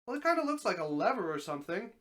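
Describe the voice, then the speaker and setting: A man's voice: high-pitched, big pitch swings, fast talker, fairly bright tone. A man voicing a curious, incredulous man in a dramatic story reading, questioning and hesitant. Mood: puzzled.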